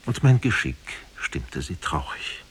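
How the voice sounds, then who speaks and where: A learned prince tells his own tale in an old radio drama. His voice is very deep and husky, moving expressively through formal, storybook phrasing. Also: big pitch swings, unhurried, fairly bright tone, heavily acted. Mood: solemn recounting. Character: sorrowful learned former prince recounting his adventures. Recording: 1950s radio play from cassette.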